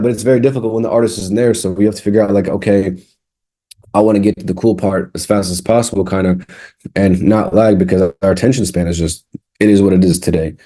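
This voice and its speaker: Man, 30s, interview guest; low-ish mellow voice, rambling and candid. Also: slightly rough. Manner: rambling, frequent restarts and fillers, candid. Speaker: music producer, guest. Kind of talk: casual interview chat.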